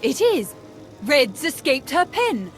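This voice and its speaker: An actress playing a sardonic, dryly expressive woman scientist on an imperial research team in a video game. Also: sits high, slow pace, soft background music, heavily acted. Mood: urgent concern.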